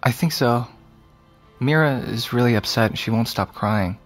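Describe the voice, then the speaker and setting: A man's voice: mid-range voice, moderate pace, soft background music, natural voice. An actor playing an awkward, curious seventeen-year-old American boy in a naturalistic audio drama, understated in manner. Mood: nervous, apologetic. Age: young adult. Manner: hesitant, understated. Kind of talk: naturalistic audio fiction acting.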